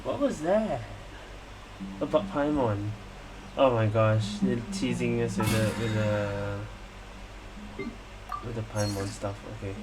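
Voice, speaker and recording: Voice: medium pitch, slow pace, pauses often, poor audio. A gaming video host giving casual let's-play commentary, hesitant and often repeating phrases while reacting. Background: game music.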